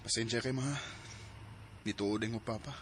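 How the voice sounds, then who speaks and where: A man's voice: mid-range voice, fairly even intonation, moderate pace, slight background noise, natural voice. A young man acting the part of a resentful son who bursts into heated, accusing outbursts in an audio drama. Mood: remorseful. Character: young adult son, resentful then remorseful.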